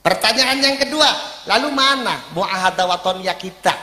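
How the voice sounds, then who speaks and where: A man's voice: high voice, normal speed, bright, forceful tone. A man from Indonesia preaching publicly in emphatic oratory, driven by rhetorical questions. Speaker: Islamic preacher. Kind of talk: public religious sermon. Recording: echoey hall with long reverb.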